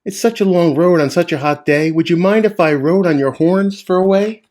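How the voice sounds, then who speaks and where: A man doing a perky little bug, high and bright. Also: normal speed, close to an everyday voice. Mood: friendly. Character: small chatty male bingle bug.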